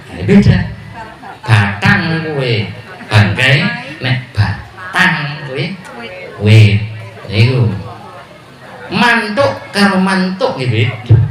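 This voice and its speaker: A man with a Javanese accent preaching a sermon at a village religious gathering, mixing jokes with loud calls and low asides. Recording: echoey PA sound from far off.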